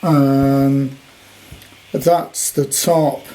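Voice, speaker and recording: A man's voice: medium pitch, level tone, very slow, warm tone. A man giving an informal, rambling hands-on showcase as a collector, with jokey wordplay, recorded in a room at home. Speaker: vintage radio collector presenting his purchase. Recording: clearly noisy home recording.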